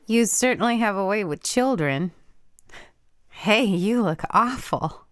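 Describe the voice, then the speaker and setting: A woman's voice: medium pitch, animated, takes it slowly. An actress playing a teasing, spirited, caring young Japanese woman in a radio play, speaking expressively. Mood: teasing, concerned.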